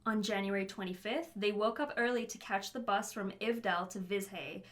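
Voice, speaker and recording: A woman's voice: mid-range voice, moderate pace. A woman co-hosting a true-crime podcast, narrating in a chatty way and talking straight to listeners. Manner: chatty, addresses listeners as you guys. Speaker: podcast co-host.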